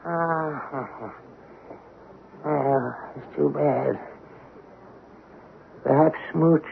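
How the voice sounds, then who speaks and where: A man's voice: on the high side, vocal fry. A man playing an oily, sanctimonious, grasping coin dealer in a florid, unctuous radio drama style. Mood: mock-mournful, greedy. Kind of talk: old-time radio drama acting. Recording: dull, noisy old radio broadcast.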